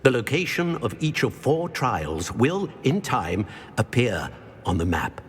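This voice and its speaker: An actor voicing a solemn, ancient male wizard in a talking portrait for a video game, speaking in a grave, measured and formal way. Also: mid-range voice, sing-song, breathy edge, exaggerated voice. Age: older. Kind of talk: video game cutscene acting.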